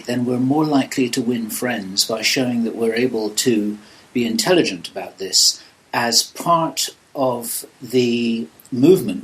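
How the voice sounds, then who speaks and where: A man's voice: mid-range voice, fairly bright tone, poor audio. A man co-hosting an interview, speaking earnestly in long, winding sentences. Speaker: interview co-host. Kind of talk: casual conversational interviewing.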